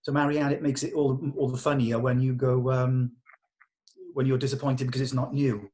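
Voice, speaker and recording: A man leading a script table read, explaining notes to actors and often pausing mid-thought. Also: mid-range voice, little pitch movement, darkish tone, slight background noise.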